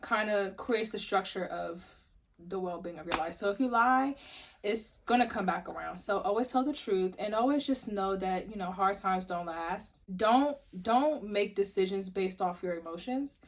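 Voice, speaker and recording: A woman of twenty-five giving earnest, measured advice in casual talk. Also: medium pitch, distant mic. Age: 20s. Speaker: interviewee.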